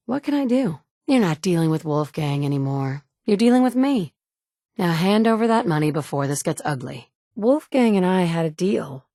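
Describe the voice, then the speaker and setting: A woman's voice: lowish voice, darkish tone, no room sound. An actress in her thirties playing a tough, wry woman survivor in a video game, talking in a blunt, matter-of-fact way from a studio booth. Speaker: player character. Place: recording booth. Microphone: studio mic.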